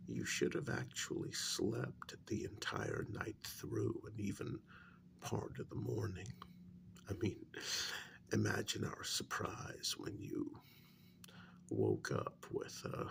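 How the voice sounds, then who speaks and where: A man playing a polite, composed agent interrogating a captive in a soft-spoken ASMR role-play, hesitant and measured, a little patronizing. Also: fairly low pitch, creaky, exaggerated voice.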